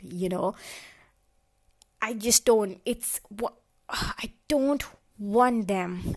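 A woman telling an embarrassed comic story, hesitant and full of fillers and restarts. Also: mid-range voice. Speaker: guest storyteller. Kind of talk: confessional comic monologue.

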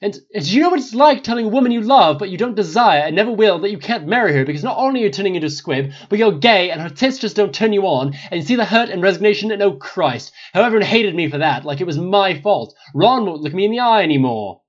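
A man's voice: high voice, expressive, fast talker, hardly any pauses, muffled recording, pitched above the performer's own voice. A man voicing a distraught, defensive young male wizard, agitated and volatile, in a dramatic story reading. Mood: anguished outburst. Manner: pleading, agitated, stammering under pressure.

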